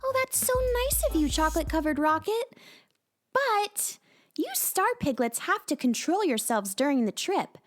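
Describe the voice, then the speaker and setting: A woman's voice: high-pitched, big pitch swings, normal speed, soft background music. A woman playing a kind, firm and bubbly young girl adventurer in a children's audio drama. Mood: caring.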